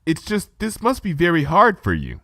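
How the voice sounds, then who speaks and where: A man's voice: on the high side, wildly swooping pitch, moderate pace, noisy background, pitched above the performer's own voice. A man voicing an earnest, bookish, anxious young female pony in a storybook reading, polite and careful in manner. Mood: uneasy. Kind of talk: storybook fan fiction character reading.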